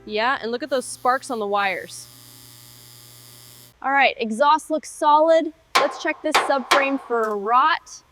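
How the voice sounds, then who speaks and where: A woman's voice: high voice, slow pace, sharp tone, soft background music, poor audio. A woman speaking as a car restoration mechanic, narrating tasks in short phrases as she works. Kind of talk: short task narration while working.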